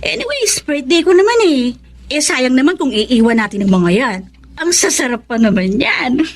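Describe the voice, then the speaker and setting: A woman's voice: high voice, big pitch swings, moderate pace, exaggerated voice. A woman acting a scheming young student in a Filipino drama, breezy and coaxing, mixing in English words. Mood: sweet, coaxing. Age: young adult. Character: scheming, chummy female student buttering up classmate.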